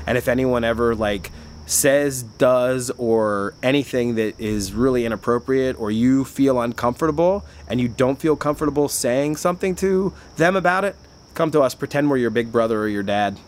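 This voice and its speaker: A man's voice: mid-range voice, normal speed. A man who works at a bar, talking in casual chat, rambling and chatty with frequent restarts and filler words. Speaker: bar worker.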